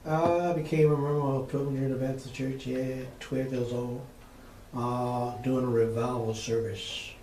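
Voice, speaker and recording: A man of seventy answering oral history interview questions in a measured way, with frequent pauses and fillers. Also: mid-range voice, flat delivery, mellow, distant mic. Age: 70s. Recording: roomy echo, faint noise.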